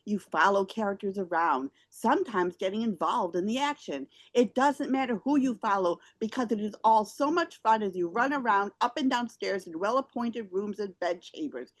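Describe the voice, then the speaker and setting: Woman presenting theater reviews, mid-pitched. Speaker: theater reviewer and show host. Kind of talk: scripted review read aloud.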